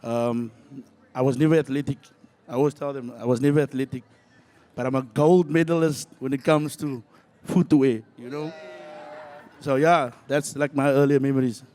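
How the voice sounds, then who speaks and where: A man from South Africa reminiscing on a live panel, relaxed, with lots of fillers. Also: medium pitch, moderate pace. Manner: relaxed, many fillers, laughs easily. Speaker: panel guest.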